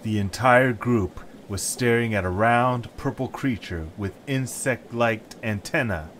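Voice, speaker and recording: A man's voice: medium pitch, unhurried, a bit bright, slight background noise. A man reading scripted story narration as a storyteller. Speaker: narrator and voice actor.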